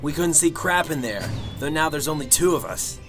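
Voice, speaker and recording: A man's voice: a little high, expressive, moderate pace, over music, lightly acted. A man playing a cynical, sarcastic detective in an amateur radio drama, with a blunt, grumbling delivery. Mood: tense, blunt. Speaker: actor.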